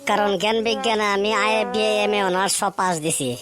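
A woman's voice: mid-range voice, fairly even intonation, fast, very noisy, close to an everyday voice. A woman voicing a joking, boastful cartoon schoolboy. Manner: boastful, laughing, teasing. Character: joking cartoon schoolboy who boasts but knows little.

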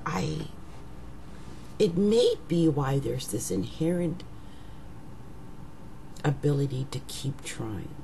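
A middle-aged woman speaks in a rough, raggedy voice, low and mellow, with a gravelly edge. She talks unhurriedly to a webcam at home, often trailing into near-whispers. A faint hum sits behind her. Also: poor audio. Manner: slow, musing, trails into whispers. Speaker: astrologer. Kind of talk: casual monologue to webcam. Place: living room at home. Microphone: webcam at desk.

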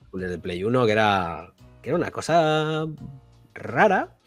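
A man from a Spanish podcast with a Spain accent and a fairly low voice. He hosts casual chat about old games in a rambling, jokey, colloquial manner, with faint music playing behind him. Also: big pitch swings, moderate pace. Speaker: podcast host.

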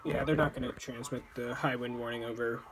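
Casual male home-video voice, monotone and fast, mid pitch, with chatter behind him. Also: background noise. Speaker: person filming the radio. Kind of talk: casual offhand remarks.

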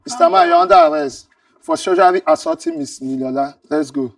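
A man's voice: a little high, huge pitch swings, fairly quick, no echo, studio quality, distant mic. A man acting a firm, commanding police officer in a screen drama. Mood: insistent. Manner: insistent, commanding.

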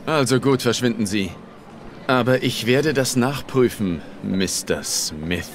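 A man playing a gruff, stubborn police officer in a radio drama, curt and officious. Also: medium pitch, expressive, slow pace, soft background music. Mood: grudging.

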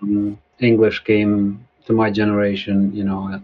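Male podcast guest, Albanian native speaker, lowish voice, soft-spoken, slightly dull sound. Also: monotone, normal speed. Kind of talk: casual conversation.

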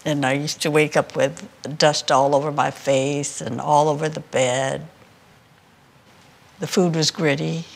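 An older man recalls his childhood in a slow, reflective way, and his voice is gravelly with a fairly high pitch. He is interviewed indoors. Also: little pitch movement.

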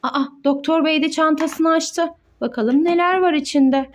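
A woman's voice: high voice, unhurried. A woman playing with her child, narrating toy doctor play in a sing-song, encouraging way and explaining each step.

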